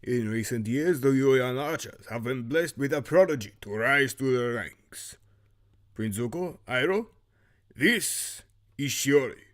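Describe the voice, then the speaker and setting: A solemn master of archers in a fantasy audio drama, acted by a man. He speaks formally and with measured weight, at a middling male pitch. He is grave and authoritative. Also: lively intonation, lightly acted. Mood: formal pride.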